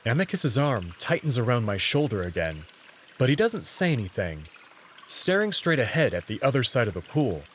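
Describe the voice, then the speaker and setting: Lively male story narrator, mid-pitched. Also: normal speed, a little hiss or hum. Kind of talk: scripted first-person narration of visual novel.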